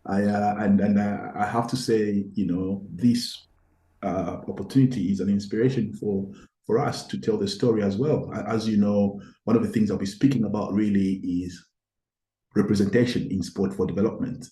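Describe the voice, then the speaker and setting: A middle-aged man with a mellow, lowish voice talks things through as a podcast guest. He pauses, circles back and repeats words as he gathers each thought, and his is Tonga. The sound is roomy, with noticeable echo around him. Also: steady tone. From Zambia. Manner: thoughtful, halting, with many repeated words and restarts. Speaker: guest, academic. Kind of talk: reflective podcast conversation.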